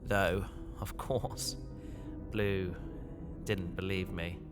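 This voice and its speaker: A man playing an anguished young fugitive recording a journal in a sci-fi audio drama, brooding and halting. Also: low-pitched, little pitch movement. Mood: brooding, weary. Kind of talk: science fiction audio drama monologue. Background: music.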